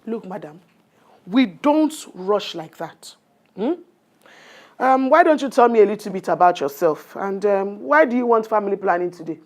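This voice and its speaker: A woman's voice: mid-range voice, animated, moderate pace, natural voice. A woman with a Nigerian accent acting as a condescending, bossy family planning nurse in a radio drama, talking down with exclamations and little tags. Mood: bossy, dismissive. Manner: patronizing, talks down with exclamations and tags. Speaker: nurse in an educational radio play.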